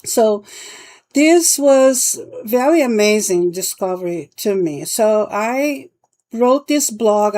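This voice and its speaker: A woman from Brazil with a Brazilian accent and Portuguese as her first language, giving a casual, halting explanation as a vlogger. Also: mid-range voice, darkish tone. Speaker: palmistry vlogger and teacher.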